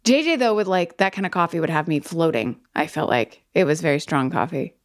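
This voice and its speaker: A woman's voice: low voice, lively intonation, a little fast, no room sound, clean studio sound. A woman in her forties co-hosting a podcast, chatting casually with eager enthusiasm and frequent laughter. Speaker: podcast co-host, actress. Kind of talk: casual chat.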